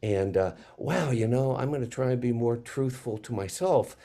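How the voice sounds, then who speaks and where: A man in a reflective panel conversation, speaking as a theater maker in a halting, searching, earnest way with pauses. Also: mid-range voice, mellow.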